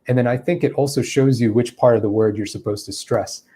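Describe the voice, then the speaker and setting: A man explains English pronunciation to viewers, pausing with fillers as he thinks. His voice is mellow and sits at an ordinary pitch. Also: steady tone, husky. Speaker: English teacher. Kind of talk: answering viewer questions in a lesson.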